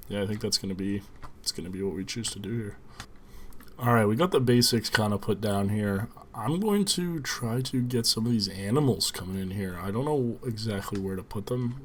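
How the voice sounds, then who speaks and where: Low-voiced man with a slight cold. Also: slight background noise. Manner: relaxed, chatty, thinks aloud, addresses viewers as guys. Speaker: gaming video host. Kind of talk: casual game commentary.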